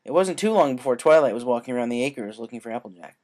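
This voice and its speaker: A narrator reading scripted fan fiction aloud in an expressive storytelling style. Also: mid-range voice, quick pace.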